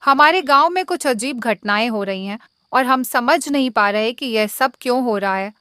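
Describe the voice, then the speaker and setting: A wise village priest from a storybook read by a woman, courteous and measured. The voice sits fairly high, with a dry, close sound. He is calm and considered in how he speaks. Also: clear, resonant tone, no echo. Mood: polite. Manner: measured, polite.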